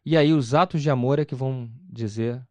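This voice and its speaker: A man's voice: medium pitch, a little fast, no echo. A man with a Carioca Brazilian accent, speaking as an interview guest in an emphatic, explanatory way, often asking and answering his own questions. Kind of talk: conversational testimony about personal faith.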